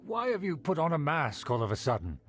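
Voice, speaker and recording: A man's voice: fairly high pitch, big pitch swings, a little fast, slightly rough. A man voicing a haughty young nobleman in a video game, formal and condescending. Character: haughty young male nobleman, proud of his clan.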